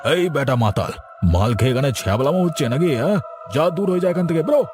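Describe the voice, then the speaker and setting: A man's voice: a little high, expressive, fast, over music, close to an everyday voice. A man voicing a stubborn, idle grown village son in a cartoon, argumentative and self-important. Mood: alarmed, angry. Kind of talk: cartoon voice acting.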